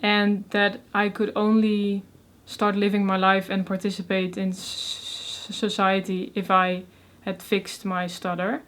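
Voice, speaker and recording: Young dutch woman, stutters a lot, soft and chatty with lots of ums. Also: medium pitch, monotone, slow, hardly any pauses. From Netherlands. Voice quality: stutters, repeating and breaking off first sounds. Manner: soft-spoken, many fillers, frequent laughs. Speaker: interview guest. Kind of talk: casual interview conversation.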